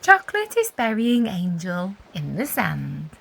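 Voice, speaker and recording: A woman reading a children's story aloud expressively, with deliberate emphasis and animated storytelling. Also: medium pitch, creaky, slightly rough. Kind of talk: expressive read-aloud narration for young children.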